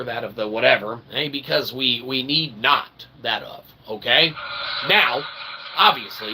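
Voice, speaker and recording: A man's voice: medium pitch, vocal fry. A man livestreaming a rambling, tongue-in-cheek monologue in verbose, pseudo-formal phrases, often adding short tag questions. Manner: tongue-in-cheek mock philosophizing. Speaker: livestream host. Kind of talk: rambling casual monologue to live viewers. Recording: steady background noise.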